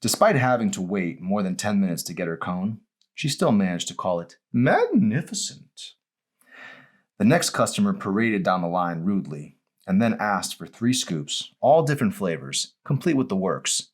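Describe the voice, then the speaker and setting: Deep-voiced man narrating a novel expressively. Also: big pitch swings, normal speed. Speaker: author reading own novel. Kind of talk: expressive scripted audiobook narration.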